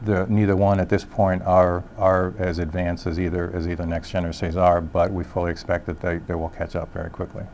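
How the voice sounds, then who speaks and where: A man giving explanatory interview answers as an industry expert, haltingly rephrasing as he goes. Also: low voice, level tone, darkish tone, a little hiss or hum. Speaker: industry expert, solutions director.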